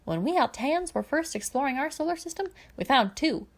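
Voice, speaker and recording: A woman performs a chatty older alien man from an animated space adventure. He is chirpy and exclamatory, rambling along with cheerful, nostalgic enthusiasm. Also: sits high, fairly quick, voice pushed up. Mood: chatty, curious.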